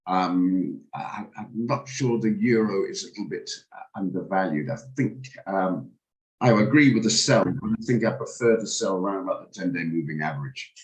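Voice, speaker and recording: A man, hoarse from a cold, hosting a live trading room and talking through charts with hesitant, stammering restarts. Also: low voice, normal speed, low-quality recording. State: hoarse, recovering from flu. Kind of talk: live market commentary on a video call.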